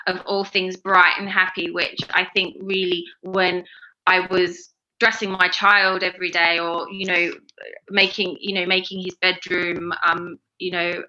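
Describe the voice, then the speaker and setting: A woman's voice: lowish voice, bright, forceful tone, muffled recording. A woman in her forties with an Australian accent, talking casually as an interview guest, rambling with many fillers and restarts. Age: 40s. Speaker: interview guest, fashion brand founder.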